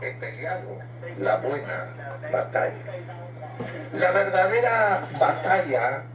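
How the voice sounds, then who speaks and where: A man's voice: high voice, big pitch swings, unhurried, recorded from a distance, a crowd in the background. A man preaching in a religious broadcast, heard through an old radio speaker. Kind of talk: Spanish-language religious broadcast. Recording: muffled, noisy, echoey old radio playing from afar.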